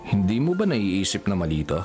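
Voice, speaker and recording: Exasperated, animated male professor. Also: low voice, a little fast, soft background music. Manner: stern, frustrated, code-switching Tagalog and English. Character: exasperated, strict young male substitute professor. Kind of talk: Filipino radio romcom drama acting.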